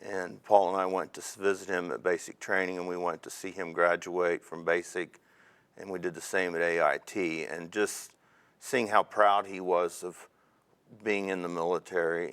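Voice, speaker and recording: Deep-voiced guy in his 50s from indiana, slow thoughtful interview answers, lots of pauses. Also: a bit bright. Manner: measured, reflective storytelling with frequent pauses. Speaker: interview guest, Army veteran. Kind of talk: oral history interview.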